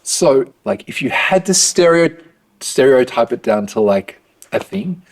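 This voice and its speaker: A man's voice: medium pitch, measured pace. A man with an Australian accent, talking casually in an interview. He answers with easy humor and pokes fun at himself. He speaks as a program director describing his work. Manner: relaxed, self-deprecating humor.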